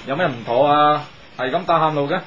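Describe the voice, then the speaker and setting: A man's voice: a little high, fast talker, a crowd in the background, natural voice. An actor playing a curious, practical male workmate giving advice in a 1980s Hong Kong Cantonese radio drama. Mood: curious, teasing. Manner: inquisitive, advising. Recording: slightly dull, noisy old radio recording.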